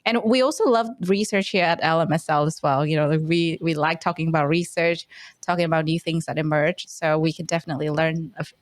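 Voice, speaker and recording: Female podcast host, lower voice, friendly and measured, dry close sound. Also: fairly even intonation, dry recording. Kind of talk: casual interview conversation.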